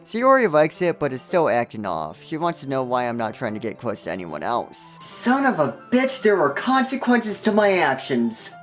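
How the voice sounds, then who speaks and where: A man narrates a game review with very lively, swinging intonation over background music. His delivery is scripted and comedic, full of sarcastic asides and exaggerated reactions. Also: medium pitch, moderate pace, few pauses. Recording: music under the voice.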